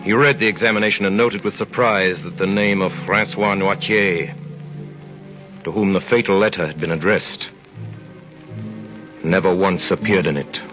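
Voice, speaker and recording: An actor playing a brooding, commanding aristocratic avenger in 1930s radio drama, grave, measured and theatrical. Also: male voice, low voice, fairly even intonation, a bit bright, soft background music. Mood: grave narrating. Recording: muffled 1930s radio broadcast.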